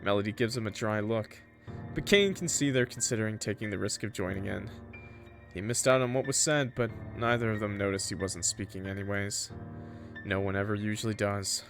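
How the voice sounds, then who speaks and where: A man's voice: fairly low pitch, a little fast, fairly bright tone, soft background music. A man reading a visual novel aloud as a streamer, mixing narration with informal, sweary, joking asides to viewers. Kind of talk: let's-play narration mixed with casual chat to viewers.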